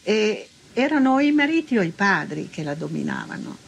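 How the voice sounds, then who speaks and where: A woman's voice: mid-range voice, big pitch swings, moderate pace, vocal fry, slight background noise. An older woman giving informal testimony in a hesitant, colloquial way, often repeating herself. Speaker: interviewee recalling her past.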